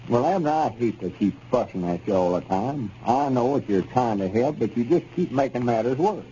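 Man acting a very dark-voiced exasperated country storekeeper. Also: medium pitch, lively intonation, moderate pace, lightly acted. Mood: weary fussing. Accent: Southern US, rural Arkansas. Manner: folksy, fussing, lecturing. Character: exasperated rural storekeeper, scolding his bumbling partner. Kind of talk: 1930s radio comedy serial acting. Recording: dull, noisy 1930s radio recording.

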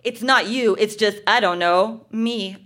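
A woman reading aloud as an awkward, sulky, noncommittal teenage boyfriend, in halting mimicry. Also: mid-range voice, fairly bright tone, natural voice. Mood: hesitant, glum.

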